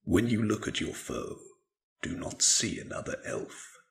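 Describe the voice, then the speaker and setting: A man playing a bitter, venomous elf commander with a gravelly voice, exhorting gravely in a fantasy monologue. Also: on the low side, big pitch swings, a little slow, heavily acted. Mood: cold hatred.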